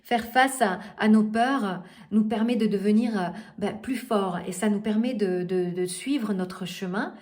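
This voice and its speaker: A woman's voice: mid-range voice, a little slow, a little mellow. A middle-aged woman life coach giving a motivational coaching talk, earnest and hesitant, with many fillers and restarts. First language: not French.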